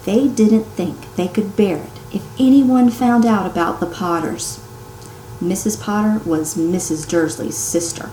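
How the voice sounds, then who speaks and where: A woman reads a children's story aloud expressively, like a teacher, with dramatic pauses, strong emphasis and hushed turns for suspense. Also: mid-range voice. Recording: roomy echo, some background noise.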